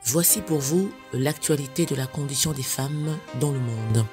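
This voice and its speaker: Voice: medium pitch, normal speed. A narrator reading a scripted news round-up in a voice-over. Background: music.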